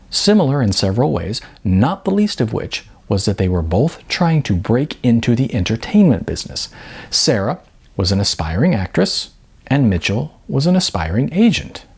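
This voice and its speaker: Expressive, husky male author reading his novel. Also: mid-range voice. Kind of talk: expressive audiobook narration, conversational.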